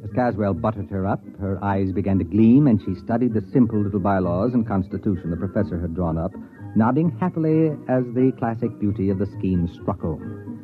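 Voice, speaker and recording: A man's voice: on the low side, brisk, close to an everyday voice. An actor playing a sardonic, impatient university administrator, telling his story with dry wit in classic radio drama style. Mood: wry narrating. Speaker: narrator and lead actor. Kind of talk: classic radio drama acting and first-person narration. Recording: muffled vintage radio recording with music.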